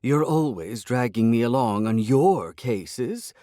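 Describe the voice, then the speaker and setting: A man's voice: mid-range voice, animated, slow, mellow, dry recording, studio quality, pitched above the performer's own voice. A man voicing a haughty, demanding female talking cat with a snippy, imperious manner, reading an audiobook.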